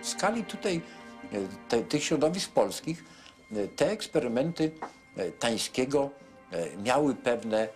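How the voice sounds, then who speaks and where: A man's voice: mid-range voice, lively intonation, unhurried, soft background music. An older man explaining history in an interview with many hesitations, seated in a small wooden boat cabin.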